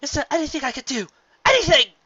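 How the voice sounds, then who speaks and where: Man voicing a scared young princess, storybook heroine, high forceful voice, urgent, pleading, earnest, muffled lo-fi sound. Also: very animated, fast talker, dry recording, exaggerated voice. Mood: alarmed, pleading. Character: frightened young princess Luna, earnest and desperate.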